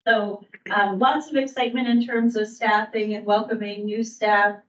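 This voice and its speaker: A woman who works for a county aging services bureau, giving a staff update at a public meeting in a measured way, with frequent ums and restarts. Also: mid-range voice, level tone. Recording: distant roomy mic, dull sound.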